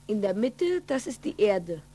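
A woman's voice: mid-range voice, sing-song, unhurried, warm tone. A woman playing a curious, youthful twelve-year-old boy, an eager pupil, in a 1940s German radio play. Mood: earnest, puzzled.

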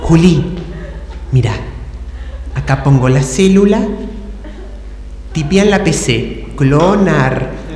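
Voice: mid-range voice, lively intonation, slow, long pauses, darkish tone. A performer with a Rioplatense accent narrating improvised stage comedy, teasing and emphatic while posing choices. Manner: teasing, emphatic, repeats prompts. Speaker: improv game narrator and player. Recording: echoey hall, clear background noise.

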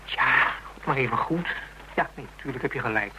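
A man's voice: medium pitch, moderate pace, slight background noise, poor audio. A man playing an insecure, blunt young suitor in a radio drama, hesitant and informal in his speech. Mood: puzzled, exasperated. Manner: hesitant, stumbling, informal, excitable. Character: Ruud, insecure jealous teasing young suitor, blunt and boyish. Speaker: actor in a radio play. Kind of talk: Dutch radio drama acting, naturalistic dialogue.

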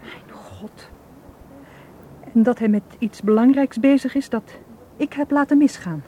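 An actress playing an anxious, self-reproaching female tour guide in a radio drama, hushed and emotional. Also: a little high, a little slow, a little hiss or hum, close to an everyday voice. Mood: shocked.

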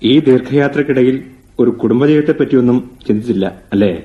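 A male actor playing an inquisitive Malayali stranger in a radio drama. He has a medium-pitched voice and a courteous, questioning manner. The recording is a little muffled. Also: a little fast, lightly acted. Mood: respectful, curious. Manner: courteous, questioning, hesitant openers. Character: polite, inquisitive male stranger making enquiries.

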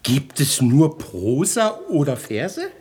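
Foolish rich bourgeois, wildly swooping delivery. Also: male voice, fairly high pitch, very animated, slow, darkish tone, slightly rough, exaggerated voice. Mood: puzzled, naive. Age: middle-aged. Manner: naive, eager, exclamatory, blustering. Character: rich, foolish, vain bourgeois, easily delighted and flattered. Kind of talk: 1960s German radio comedy acting.

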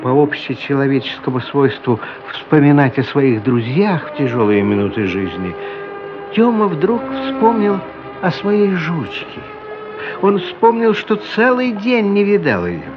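A man narrating a radio play as a dramatic, expressive storyteller. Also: a little high, unhurried, over music. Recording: muffled radio play recording.

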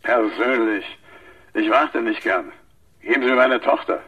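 Male radio-play magnate: sharp, raspy, impatient, barking curt orders down a phone. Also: medium pitch, measured pace, highs cut off. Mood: impatient, imperious. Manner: curt, commanding. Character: imperious, impatient male tycoon father. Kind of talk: radio crime drama acting.

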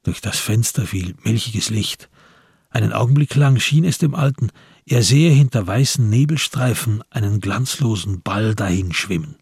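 A man narrating a literary radio drama in a measured way with dramatic emphasis. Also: fairly low pitch, animated, gravelly, darkish tone, husky, no echo, studio quality.

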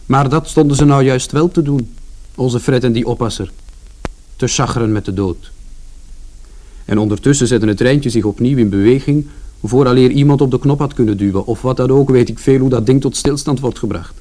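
Man playing mellow, chatty storytelling son. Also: mid-range voice, normal speed, natural voice. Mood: matter-of-fact recounting. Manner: colloquial, rambling, matter-of-fact. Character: chatty, wry working-class son recounting misadventures. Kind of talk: radio play first-person narration.

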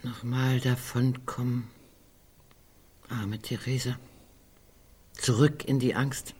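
A woman's voice: a bass voice, fairly even intonation, slow, darkish tone, husky, full character voice. An actress playing a sardonic, morbid woman in a radio play, dry and blunt, often musing. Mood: bitter, provocative. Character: sardonic, morbid woman in a right-to-die society. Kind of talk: German radio play acting.

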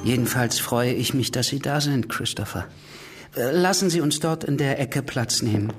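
A man's voice: medium pitch, moderate pace, soft background music, lightly acted. An actor playing a weary, courteous, ironic businessman with formal, wryly understated speech in a German radio drama. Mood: courteous, gently teasing.

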